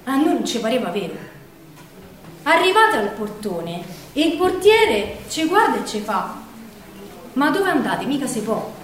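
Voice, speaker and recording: A woman's voice: sits high, very animated, measured pace. A woman with a Roman accent playing a feisty working-class partisan who recalls her girlhood in a colloquial, emphatic stage monologue. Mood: defiant, animated. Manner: colloquial, emphatic, dramatic storyteller. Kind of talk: theatrical first-person storytelling monologue. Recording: very echoey hall, distant mic, faint noise.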